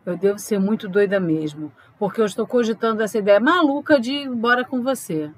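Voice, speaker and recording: A woman's voice: medium pitch, brisk, lightly acted. A woman playing a concerned, questioning love interest in an audio drama, coaxing and inquisitive. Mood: bewildered.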